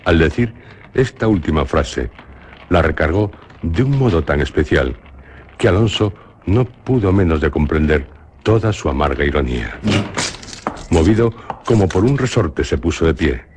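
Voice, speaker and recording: A man with a Spanish accent from Spain narrating a radio drama in a measured, solemn way. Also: rumbling low, lively intonation, a little mellow, slight background noise.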